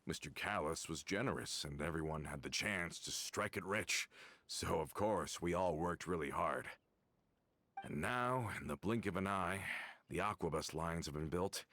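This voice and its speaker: A deep-voiced old loyal worker. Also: male voice, a bit bright, exaggerated voice. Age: older. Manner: reminiscing, earnest. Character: aging male gang worker, loyal, nostalgic. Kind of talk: game voice acting.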